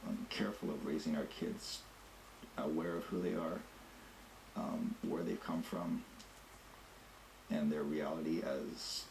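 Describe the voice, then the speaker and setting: Very deep gravelly man, thoughtful. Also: fairly even intonation, measured pace, long pauses, a little mellow. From Canada. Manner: thoughtful, hesitant with fillers. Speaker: interviewee, drummer and editor. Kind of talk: interview answers. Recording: echoey room with faint noise.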